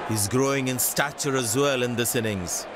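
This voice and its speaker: A man giving brisk, measured cricket commentary lines for a video game. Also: mid-range voice. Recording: loud game noise behind.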